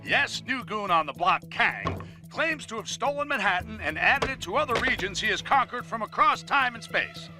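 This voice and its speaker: A man's voice: high voice, animated, moderate pace, over music. A man playing a brash, self-important newsman delivering a punchy, blustering bulletin in a video game.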